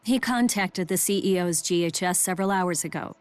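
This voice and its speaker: A woman's voice: on the low side, brisk, natural voice. A woman voicing a businesslike corporate aide in a video game, formal and composed. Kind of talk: English video game voice acting.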